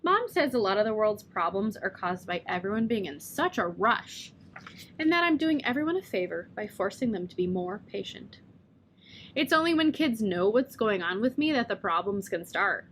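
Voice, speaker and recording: A woman reading a children's novel aloud in first person, with gently wry storytelling. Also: mid-range voice, big pitch swings, moderate pace, slight background noise. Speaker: reader.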